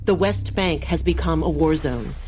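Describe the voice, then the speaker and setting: A woman's voice: sits low, normal speed, darkish tone. A woman delivering dramatic scripted narration for an audiobook. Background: music.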